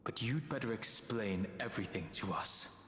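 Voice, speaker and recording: Low, stern, echoing young man an actor. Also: normal speed, fairly bright tone, slight background noise, close to an everyday voice. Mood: stern, wary. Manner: terse, solemn. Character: stern, guarded young man demanding answers. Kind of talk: serious scripted game dialogue. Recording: echoey hall effect, music behind.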